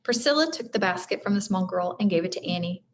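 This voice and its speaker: A woman reads a children's book aloud in an expressive, teacherly way, turning to address her young listeners. Her voice sits at a medium pitch. The recording has clear background noise. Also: fast. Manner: expressive, addresses listeners directly, stumbles over hard names. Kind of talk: read-aloud storybook narration with friendly asides.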